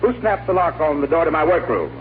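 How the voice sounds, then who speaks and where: A man's voice: fairly high pitch, sing-song, fast talker. A man playing a stern, suspicious middle-aged father in a 1940s radio comedy, on a tinny, hissy broadcast.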